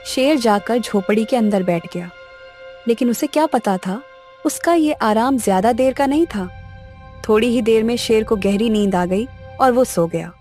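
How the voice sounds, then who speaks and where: Higher-pitched woman storyteller. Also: moderate pace, warm tone, soft background music. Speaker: narrator. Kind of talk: scripted children's story narration.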